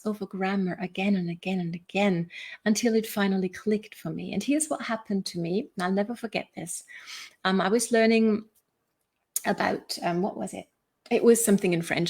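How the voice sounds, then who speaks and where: Woman giving a motivational talk. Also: medium pitch. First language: German. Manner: conversational, seeks agreement with rhetorical questions. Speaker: motivational coach and video host.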